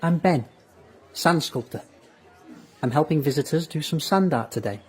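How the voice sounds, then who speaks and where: A man playing a patient, encouraging sand artist in a naturalistic audio drama, with a husky voice. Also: fairly high pitch, animated, moderate pace, some chatter behind.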